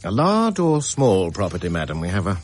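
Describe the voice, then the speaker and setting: A polite but evasive middle-aged estate agent stalls with ums and deferential hedging. His husky voice rises and falls in lively swings. A radio drama performance. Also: low-pitched, brisk, full character voice. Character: fussy, evasive male senior estate agent.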